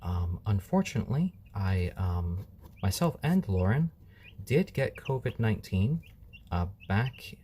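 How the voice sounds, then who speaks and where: A man's voice: lowish voice, big pitch swings, a little slow, mellow, a little hiss or hum. A man hosting a casual live stream, chatting easygoingly with viewers and cooing over his baby chicks at home.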